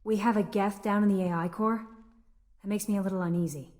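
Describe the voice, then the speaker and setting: A deferential female crew assistant on a game's starship, played by an actress. She has a medium-pitched voice and addresses her superior respectfully. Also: fairly even intonation, a little fast, a little mellow, close to an everyday voice. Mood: uneasy. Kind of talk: video game voice acting.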